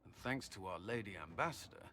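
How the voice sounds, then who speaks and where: A bright-voiced army commander. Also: male voice, fairly low pitch, expressive, soft background music. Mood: confident. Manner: brisk, assured. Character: confident male army commander. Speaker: military commander.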